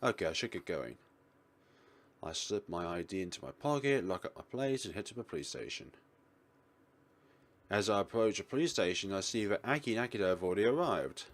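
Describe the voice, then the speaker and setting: Male gaming commentator, low register, animated swings, playful read-aloud with joking asides. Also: fairly quick, pauses often. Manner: playful, exclaims and laughs, acts out the narrator's lines. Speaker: let's player reading a visual novel aloud.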